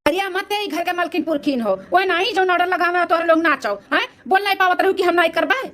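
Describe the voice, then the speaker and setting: A high, sharp cartoon voice from a performer playing a hot-headed young village son. He blusters indignantly in an Awadhi accent, firing off his words very quickly. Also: slight background noise. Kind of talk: Awadhi comedy cartoon dialogue.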